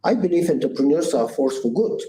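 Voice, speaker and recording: Man, 60s, Bulgarian accent, earnest interview guest, many fillers, mellow tone, echoey room. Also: a little high, expressive, fast talker. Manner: earnest, thoughtful, frequent "uh" fillers and restarts. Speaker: guest entrepreneur. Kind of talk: conversational interview answers. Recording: echoey room with faint noise.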